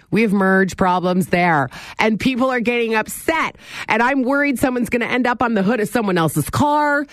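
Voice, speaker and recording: A middle-aged woman hosting a morning radio talk show, chatty and animated as she talks straight to her listeners. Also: on the low side, no room sound, clean studio sound. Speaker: radio host. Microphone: studio microphone.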